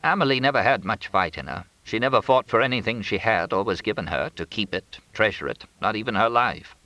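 A man's voice: fairly low pitch, a little fast, pushed and bright, no echo, poor audio. A man voicing a grim, resigned old woman, a grieving mother, in an audiobook story. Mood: weary, resigned.

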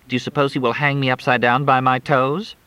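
A man voicing a bedridden young woman, shrill and complaining as she is thrown around. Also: flat delivery, brisk, pushed and bright, clean tone, dry recording, close to an everyday voice. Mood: sarcastic.

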